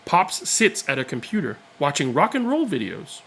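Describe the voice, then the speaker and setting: A man reading a children's picture book aloud, with expressive, deliberate and dramatic phrasing. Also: a little high, creaky, husky. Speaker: read-aloud storyteller.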